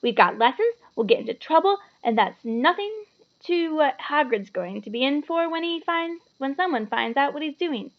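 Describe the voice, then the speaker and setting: Lady doing an earnest brainy schoolgirl, coaxing, highish voice, storybook read, bit muffled. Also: animated, moderate pace. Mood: worried, scolding. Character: bright, eager, persuasive schoolgirl from a children's fantasy.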